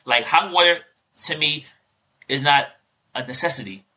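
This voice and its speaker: A man's voice: a little high, moderate pace, bright, forceful tone. A man co-hosting a podcast, chatting casually and teasing his guest with jokes. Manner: joking, teasing, playful. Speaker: podcast co-host.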